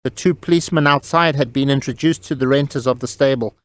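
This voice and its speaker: A man's voice: mid-range voice, quick pace, a bit bright. A man reading scripted story narration as an audiobook narrator, close on the mic.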